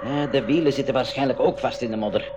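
An actor playing an eager, trusting professor uncle in a school radio play, with exclamatory delivery. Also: male voice, medium pitch, fast talker, close to an everyday voice. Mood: determined. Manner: animated, exclamatory. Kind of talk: Dutch-language school radio play acting. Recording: 1980s radio play with clear background noise.

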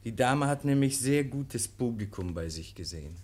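A man's voice: mid-range voice, expressive, moderate pace, darkish tone, natural voice. A man playing an urbane, needling legal counsellor in a German radio play, ironic, teasing and suave. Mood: mock earnest.

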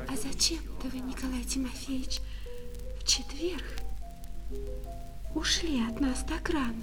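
High-voiced, coy young lady shopper. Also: unhurried, over music. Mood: hesitant. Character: hesitant, coy young lady customer in a shop. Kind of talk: radio play acting of a classic short story. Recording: reverberant hall with crowd murmur.

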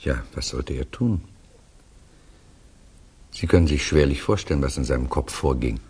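An actor playing a reflective storyteller in a 1960s German radio play, narrating conversationally in a measured, wry, husky voice. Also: male voice, extremely low pitch, animated, a little mellow, exaggerated voice. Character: reflective male storyteller recounting to a friend.

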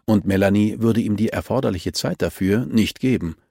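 A man's voice: fairly low pitch, normal speed, gravelly, dark tone, no room sound. A man reading scripted crime fiction as an audiobook narrator, recorded close.

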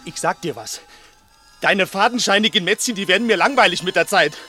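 A man's voice: high-pitched, fairly quick, bright, forceful tone, faint music behind. A male actor playing a nervous, resentful small-time crook in an agitated, insistent way in a 1960s German radio play. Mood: bitter. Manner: agitated, insistent, repeating himself.

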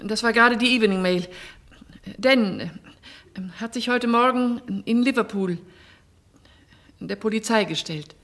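An actress playing a composed mother with a measured, formal manner in a German radio drama. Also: fairly high pitch, a bit bright, a little hiss or hum. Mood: grave. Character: composed mother relaying grave news.

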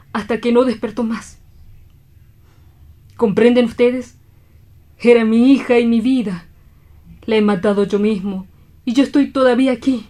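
A woman playing a grief-stricken, guilt-ridden doctor in a radio drama, solemn, halting and imploring. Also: on the high side, dark tone, natural voice. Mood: anguished.

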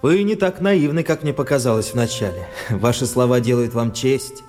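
A man's voice: mid-range voice, normal speed, darkish tone, lightly acted. A man as a stern army captain, reverberant. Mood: measured irony. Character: weary, stern wartime army captain, self-controlled. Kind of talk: radio play acting. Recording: long hall reverb, music behind.

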